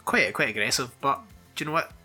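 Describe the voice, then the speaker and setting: A man co-hosting a podcast, teasing his co-host with quick asides and laughing as they chat. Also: mid-range voice, pushed and bright, slightly rough, faint music behind. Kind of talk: casual chat.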